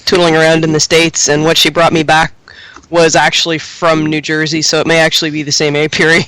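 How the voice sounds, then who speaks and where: A man with a slightly high voice chats casually as a podcast co-host. He tells small stories and laughs readily. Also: monotone, sharp tone, clear, resonant tone, no room sound, poor audio. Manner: chatty, quick to laugh.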